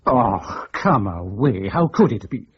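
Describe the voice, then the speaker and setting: An actor playing a defensive, aggrieved head of a research section in a radio drama, formal and indignant in manner. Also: male voice, fairly low pitch, big pitch swings, slow pace, husky, highs cut off, heavily acted. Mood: dismissive.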